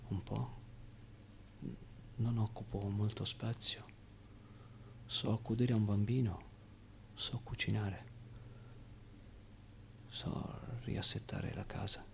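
An actor playing a streetwise, wistful orphan boy in a halting, emotional theatrical monologue. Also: on the low side, creaky, slight background noise. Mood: pleading, hushed. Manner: halting, emotional, swinging from outbursts to hushed confiding. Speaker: stage actor.

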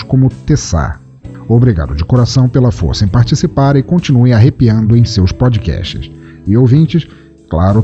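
A man hosting a podcast and narrating a story in a measured, dramatic storytelling manner. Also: medium pitch, warm tone, lo-fi sound.

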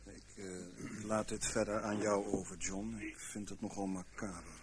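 An actor in a radio play playing a dry, fussy middle-aged intelligence official who speaks formally, with small hesitations. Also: male voice, on the low side, steady tone, unhurried, close to an everyday voice. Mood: weary, fussy. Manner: formal, hesitant, wryly bureaucratic. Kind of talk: radio drama acting. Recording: noisy old radio play recording.

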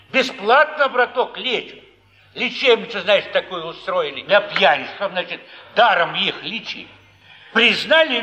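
Gentle old man, high bright voice, folksy coaxing, theatrical, muffled reverberant hall. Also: exaggerated voice. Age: older. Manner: soothing, folksy, sing-song coaxing. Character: kindly consoling old male wanderer, folksy and gentle. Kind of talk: mid-century theatrical stage acting.

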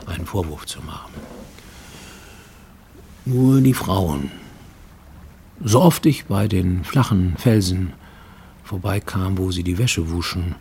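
An actor playing a haunted man who recounts childhood guilt in a halting, brooding radio-play monologue, at times sinking toward a whisper. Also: deep voice, gravelly, a little hiss or hum. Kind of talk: German radio play, first-person confessional monologue.

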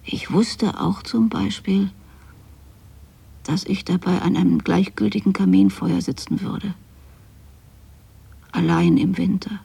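Lonely bitter mum in a radio play, very raspy n creaky, hushed and intimate. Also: female voice, medium pitch, fairly even intonation, slow pace, long pauses, exaggerated voice. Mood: tender, mournful. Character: lonely, possessive, bitter mother of a grown son.

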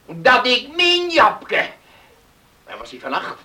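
A slow, sharp-voiced, stern village patriarch. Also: male voice, extremely high pitch, huge pitch swings, recorded from a distance, exaggerated voice. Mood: indignant. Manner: scolding, emphatic, repeats himself. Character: stern, pious, indignant bearded village patriarch. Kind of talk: radio drama acting.

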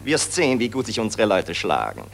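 Excitable, smug Viennese aristocrat. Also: male voice, on the low side, a little fast, gravelly, heavily acted. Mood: breezily confident. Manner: affected upper-class drawl, gushing, blasé. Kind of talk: 1940s German radio play acting. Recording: vintage 1940s radio recording.

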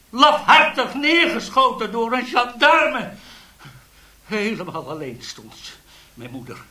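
An actor playing an embittered, hot-tempered rebel in a radio drama, volatile and emphatic. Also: male voice, high-pitched, heavily acted. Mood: bitter, accusing. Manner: volatile, emphatic, swinging from shouts to mutters. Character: embittered rebel man, locked-up accuser, hot-tempered, easily bought. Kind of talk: Dutch radio drama acting.

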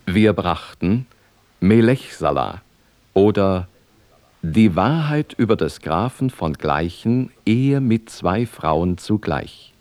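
Deep, slow formal announcer. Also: male voice, sing-song, a little mellow, no room sound. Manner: formal, measured. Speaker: radio play announcer. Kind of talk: scripted credits announcement.

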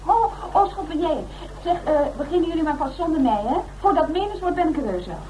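An actress playing a sharp-tongued, breezy, gossipy woman with a theatrical, sarcastic manner in a Dutch radio crime serial. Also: extremely high pitch, animated, fast talker. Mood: coaxing, breezy. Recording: dull radio recording with hiss.

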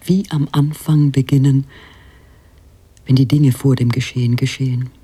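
An actor playing a musing author, an inner narrating voice, hushed and often half-whispered, in a literary radio play. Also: male voice, medium pitch, flat delivery, moderate pace, a little hiss or hum, close to an everyday voice. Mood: mysterious, musing.

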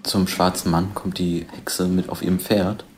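A man's voice: low-pitched, level tone, moderate pace, slight background noise. A man informally describing a scene as it unfolds, hesitantly piecing the story together. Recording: music behind the voice.

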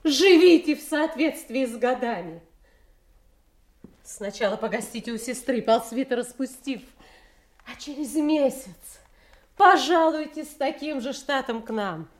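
An actress playing a cold, haughty princess in a classical audio drama, addressing her aged father with measured condescension. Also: high voice, expressive. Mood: reproachful. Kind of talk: Russian classical stage drama acting, audio play. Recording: roomy, echoing studio audio play.

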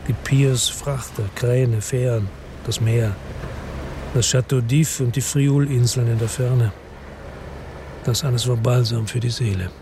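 A man's voice: mid-range voice, fairly even intonation, measured pace, background noise. A man narrating a crime radio drama in first person, brooding and weary, his tone turning bitter.

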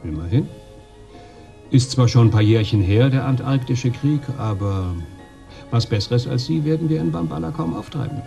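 Cocky expat import-export man for radio play: medium pitch, warm dark tone, chummy, condescending. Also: animated, normal speed, vocal fry, highs cut off, soft background music. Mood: businesslike, casual. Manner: chummy, swaggering, colloquial. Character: brash, jovial, condescending male European trade agent. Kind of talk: radio drama dialogue.

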